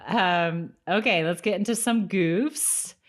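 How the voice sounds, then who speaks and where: Low-pitched woman co-hosting a podcast. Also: slow. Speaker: podcast co-host. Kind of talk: casual chat about a TV episode.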